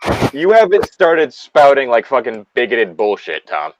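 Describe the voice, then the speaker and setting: A man's voice: medium pitch, sing-song, unhurried, noisy background. A man in a casual group chat, talking in a jokey way and swearing freely. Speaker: co-host reading horror stories in a group.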